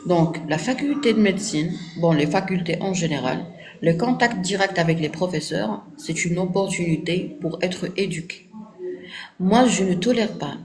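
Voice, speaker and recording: A woman's voice: on the low side, normal speed, darkish tone. A woman speaking French with an Arabic accent, Arabic as her first language, answering viewers in a firm, didactic live stream and checking agreement with "ok?". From Morocco. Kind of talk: casual live-stream talk.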